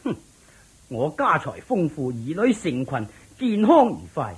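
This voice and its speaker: A woman's voice: sits low, sing-song, unhurried, slightly rough, full character voice. A woman playing a proud, boastful rich landlord in a Cantonese radio drama. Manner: boastful, shouting at the sky.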